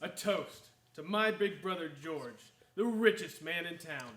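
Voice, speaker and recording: A man's voice: a little high, measured pace, loud music behind the voice, close to an everyday voice. A man playing a proud, affectionate younger brother in a vintage radio play. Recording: echoey, very noisy distant stage recording.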